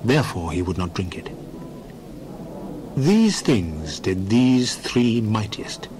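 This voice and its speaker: A man narrating scripture in a measured, formal way for a dramatized reading. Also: fairly low pitch, very animated, noisy background.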